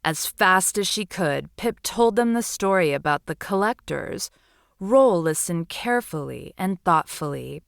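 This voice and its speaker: A woman storyteller narrating bedtime stories for children in a soothing, deliberate, expressive manner. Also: on the low side, vocal fry, no echo, studio quality.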